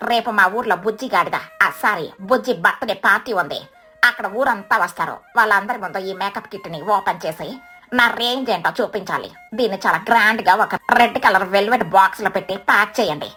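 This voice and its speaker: A woman's voice: mid-range voice, fairly quick, pushed and bright, soft background music, voice pushed down, close to an everyday voice. A woman voicing a boastful female crow in a children's cartoon story, affected and self-important.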